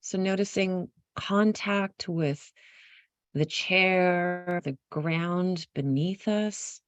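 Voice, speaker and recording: A woman leading a guided meditation, giving gentle instructions in an unhurried, softly inviting way with pauses mid-phrase. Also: on the low side, smooth, dry recording, dull audio.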